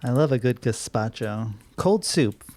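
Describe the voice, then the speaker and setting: A man playing trivia in a casual chat, tossing in playful quick quips. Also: medium pitch, animated, mellow. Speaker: trivia contestant.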